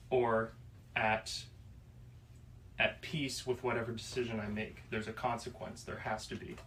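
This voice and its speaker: A man in his twenties from Florida, musing slowly on faith and choice in a casual discussion. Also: mid-range voice, a bit bright. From Florida, USA. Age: 20s. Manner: slow, reflective, pauses mid-thought. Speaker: podcast guest. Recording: distant mic, faint room noise.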